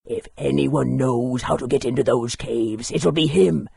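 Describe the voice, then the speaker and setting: An actor playing a courteous, bookish town historian in a fantasy game, speaking politely and in a measured, scholarly way. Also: male voice, mid-range voice, creaky, warm tone, slightly rough, dry recording, studio quality, exaggerated voice. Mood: helpful, cautionary.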